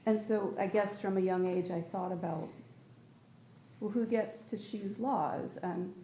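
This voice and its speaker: A woman's voice: medium pitch, fairly even intonation, measured pace, warm tone, roomy sound, a little hiss or hum, poor audio. A woman from Michigan speaking in a group conversation, telling a personal story in an earnest, wandering way with many fillers. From Michigan, United States. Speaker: panel speaker.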